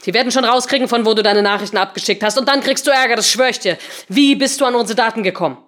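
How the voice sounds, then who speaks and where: A woman's voice: high voice, lively intonation, normal speed, pitched above the performer's own voice. A woman narrator voicing an angry, demanding woman programmer in an audiobook, with an emphatic, confrontational delivery. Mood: angry, threatening.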